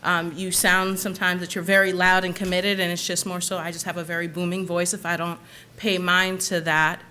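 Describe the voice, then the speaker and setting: A woman's voice: on the low side, little pitch movement, a little fast, pushed and bright, smooth. A woman panelist answering an audience question in a candid, reflective way, laughing now and then.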